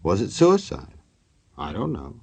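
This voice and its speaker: A man's voice: lowish voice, wildly swooping pitch, measured pace, mellow, husky, exaggerated voice. An actor playing a sardonic, wisecracking male narrator in a 1940s radio show. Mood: sardonic, theatrical.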